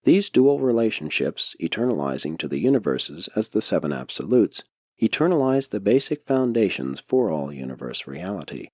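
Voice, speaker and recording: A man reading a spiritual text as an audiobook narrator, in measured, deliberate phrasing. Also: low-pitched, very animated, dark tone, no echo, highs cut off.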